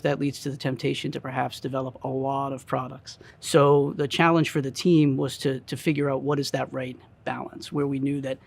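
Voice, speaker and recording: Male product expert, interview delivery, medium pitch with some gravel, deliberate and explanatory. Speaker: audio company product expert.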